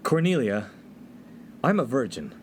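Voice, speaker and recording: Man playing an earnest college freshman with swooping pitch. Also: very animated, unhurried, slight background noise. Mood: flustered, nervous. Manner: earnest, flustered. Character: earnest, awkward, naive young male college freshman. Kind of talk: comedic radio play acting.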